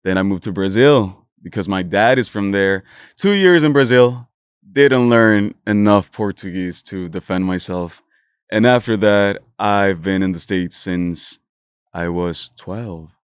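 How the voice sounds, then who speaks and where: A young man in his early twenties from Honduras, with Spanish as his first language. His voice is fairly low and very clean and smooth. He chats casually and playfully as an interview guest, recorded dry. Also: measured pace, dry recording. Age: early 20s. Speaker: podcast guest, content creator.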